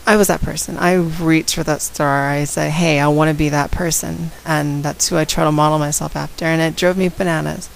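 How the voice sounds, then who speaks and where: A woman speaks to her viewers in a low, gravelly voice, raspy from a head cold. She talks in a direct, outspoken way. A faint hiss sits under the recording. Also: fairly even intonation, fairly quick, few pauses, low-quality recording. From San Antonio, Texas. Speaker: vlogger. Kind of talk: casual talk to camera.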